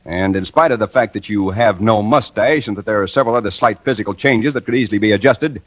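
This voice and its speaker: Husky, hardboiled 1940s radio reporter played by an actor. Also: male voice, on the low side, fast, no room sound, lightly acted. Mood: accusing, insistent. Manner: wry, clipped tough-guy delivery. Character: dogged hardboiled male newspaper reporter narrating his case. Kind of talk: 1940s radio detective drama acting. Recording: old radio recording with faint music.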